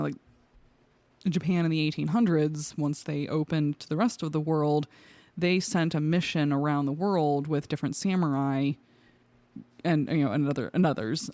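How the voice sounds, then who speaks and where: A woman's voice: sits low, warm tone, dry recording. A woman telling personal stories in casual conversation as a podcast guest, acting out bits of her own thoughts as she goes.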